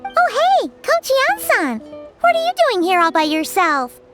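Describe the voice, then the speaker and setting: A woman voicing a tiny, excitable fairy companion who talks about herself in the third person, in video game dialogue. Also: very high voice, unhurried, heavily acted. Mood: chirpy. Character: tiny floating fairy companion, chirpy, excitable, self-centered. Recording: game soundtrack music behind.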